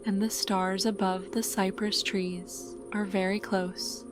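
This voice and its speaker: A woman narrating a scripted guided sleep meditation in a calm, soothing, unhurried manner. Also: mid-range voice, over music.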